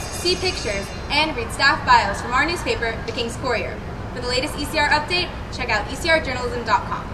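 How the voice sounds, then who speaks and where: Teen girl news anchor, fast and bright-toned, school broadcast, noisy room. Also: fairly high pitch. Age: teens.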